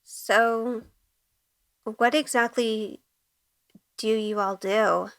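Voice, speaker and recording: A woman's voice: a little high, steady tone, extremely slow, fairly bright tone, big-room sound, exaggerated voice. A woman playing a sheltered, earnest college-age good girl in a first-person audio drama. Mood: anxious. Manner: earnest, unsure.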